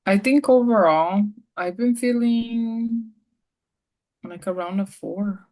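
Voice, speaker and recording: A woman playing a client in a practice therapy session over a video call, soft-spoken with many fillers. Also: a little high, slow pace, low-quality recording. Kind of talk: role-played therapy session.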